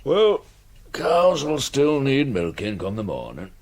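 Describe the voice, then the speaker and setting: A man's voice: medium pitch, wildly swooping pitch, slow pace, a little mellow, no echo, full character voice. A man playing a stolid, terse farmer husband in a radio drama. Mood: stolid, weary. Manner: terse, plodding, inscrutable. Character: stolid, taciturn old-fashioned farmer husband.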